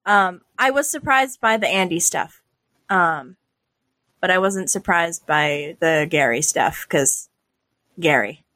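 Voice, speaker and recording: A woman with a bright, forward voice chats as a podcast guest. She takes her time, speaking very slowly with informal, hesitant phrasing, and the sound is dry and close. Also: fairly low pitch, animated, no echo. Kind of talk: casual chat.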